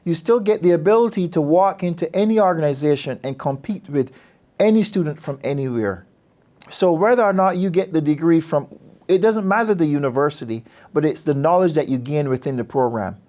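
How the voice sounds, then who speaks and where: Guy, dean, earnest and persuasive, voice sits a bit high. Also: a little fast, warm tone. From Barbados. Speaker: business school dean. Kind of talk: promotional interview talk.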